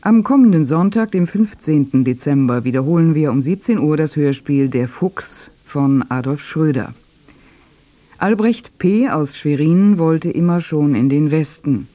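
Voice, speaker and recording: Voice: mid-range voice, animated, a little slow, warm tone. A radio announcer formally reading scripted closing credits and a programme preview.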